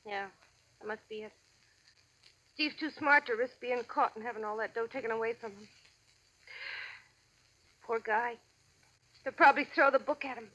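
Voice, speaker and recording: A sharp-tongued gangster's moll. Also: female voice, on the high side, moderate pace, pauses often. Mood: cool, curt. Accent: American. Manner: sarcastic, tough, slangy. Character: hard-boiled, sardonic gangster's moll, unimpressed and sharp-tongued. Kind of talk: 1940s American radio crime drama acting. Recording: dull, band-limited old radio recording.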